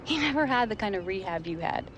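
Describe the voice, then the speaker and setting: A woman's voice: mid-range voice, expressive, fast talker, background noise, lightly acted. An actress playing a determined, blunt teenage girl footballer and younger sister in a video game drama. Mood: upbeat, coaxing. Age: young adult. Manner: blunt, challenging, impassioned. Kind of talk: dramatic game cutscene dialogue. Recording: clear music behind the voice.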